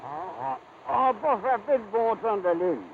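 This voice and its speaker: Tinny noisy 50s tv drama, easygoing rural quebec fella, rambly high voice. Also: male voice, expressive, normal speed, gravelly, full character voice. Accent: Quebec French. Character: easygoing, simple backwoods man out fishing. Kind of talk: 1950s television drama acting. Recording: thin, hissy old television soundtrack.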